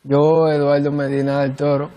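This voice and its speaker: A man's voice: on the high side, monotone, moderate pace, mellow. A man with a Cuban accent casually introducing himself as a guest participant on a panel. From Cuba.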